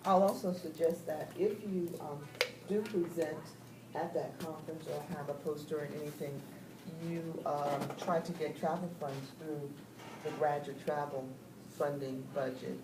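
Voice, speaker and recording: A woman's voice: sits low, steady tone, a little slow. Woman giving tips at a meeting, firm, distant mic, noisy room. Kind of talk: meeting advice. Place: classroom. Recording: echoey classroom, distant mic, noise.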